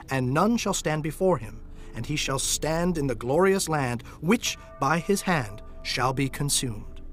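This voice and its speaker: A man's voice: mid-range voice, lightly acted. A man giving a dramatized reading of old King James scripture as narrator, solemn and declamatory. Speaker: Bible narrator. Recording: music under the voice. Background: music.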